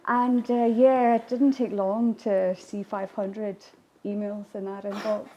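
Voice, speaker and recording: A woman whose first language is Irish Gaelic, answering interview questions in a reflective way with hesitant pauses mid-phrase. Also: mid-range voice, little pitch movement, dark tone, lo-fi sound, a little hiss or hum. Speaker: whisky distillery visitor attraction manager. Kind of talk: interview, recounting a business launch.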